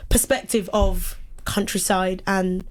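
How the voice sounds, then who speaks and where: A woman's voice: medium pitch, fairly even intonation, takes it slowly, a little hiss or hum. A woman presenting on local radio, chatty and laughing often. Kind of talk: casual radio chat. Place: radio studio.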